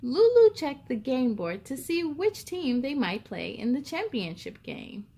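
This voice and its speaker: A woman's voice: high voice, big pitch swings, a little slow, mellow, slight background noise. A woman hosting a read-aloud for young children, telling picture-book stories expressively and speaking straight to her listeners.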